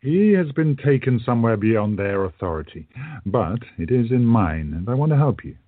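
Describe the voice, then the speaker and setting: A man playing a courteous, earnest gentleman shopkeeper in a radio play, gentle and measured in manner. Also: mid-range voice, expressive, dry recording, natural voice. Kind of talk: hobby radio play acting. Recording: dull lo-fi audio.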